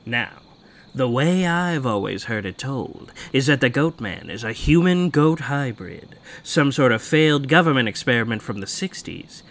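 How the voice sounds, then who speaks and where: A man's voice: medium pitch, expressive, normal speed, fairly bright tone. An actor playing a cocky, foul-mouthed man who tells spooky local legends in a teasing, theatrical way. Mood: storytelling. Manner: teasing, theatrical, casually profane. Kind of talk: naturalistic horror audio drama acting.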